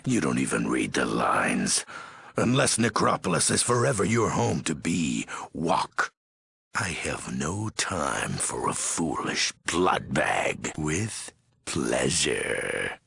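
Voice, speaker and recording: A menacing ghoul warlord from a video game, with an extremely low, rasping voice that is thick with gravel. He is weary and commanding, spitting out cryptic slang in terse, broken phrases at a slow, swinging cadence. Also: a bit bright, dry recording, heavily acted. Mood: impatient contempt. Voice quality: very gravelly, raspy. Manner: terse, commanding, threatening, odd broken syntax. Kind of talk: video game character voice acting.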